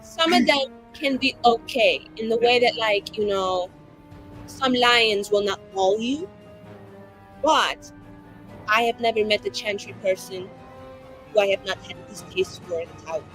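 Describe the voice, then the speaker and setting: A woman's voice: medium pitch, measured pace, fairly bright tone, faint music behind, lightly acted, poor audio. A woman playing a tipsy, indignant young woman, ranting with sarcasm and swearing in a tabletop game.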